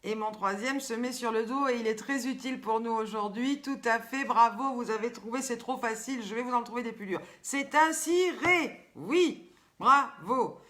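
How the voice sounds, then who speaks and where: An older woman with a warm Southern French accent runs a live show for her viewers. She talks in a lively, teasing way, posing riddles and calling out to people by name. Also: on the high side, normal speed. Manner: lively, teasing, chatty, reads viewers' comments aloud. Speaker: host. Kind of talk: live-stream chat with viewers.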